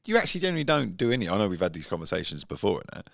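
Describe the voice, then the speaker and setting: Male co-host, early 40s, southern English, low creaky voice with lively swings, cheeky podcast banter. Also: very fast, dry recording, clean studio sound. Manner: cheeky, informal, teasing.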